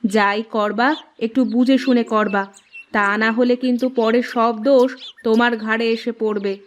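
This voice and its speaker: An actress playing a practical, cautious village housewife in a cartoon folktale. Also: female voice, fairly high pitch, fairly even intonation, normal speed, slight background noise, natural voice. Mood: cautioning. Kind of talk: Bengali cartoon folktale dialogue.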